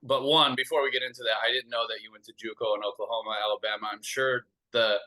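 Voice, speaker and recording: Bright-voiced male podcast host. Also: medium pitch, fairly even intonation, fast, low-quality recording. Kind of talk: casual interview hosting.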